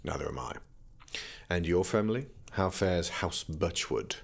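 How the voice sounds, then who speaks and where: Very low male voice, creaky, learned young prince, dry, pedantic, teasing, audio drama. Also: lively intonation, unhurried, heavily acted. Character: erudite, teasing young prince studying magic. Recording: music behind the voice.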